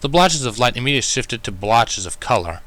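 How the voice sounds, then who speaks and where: Lively, bright-voiced man reading a story. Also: mid-range voice, brisk, no room sound. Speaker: fan fiction reader. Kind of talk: dramatic first-person story narration with character voices. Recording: music under the voice. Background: music.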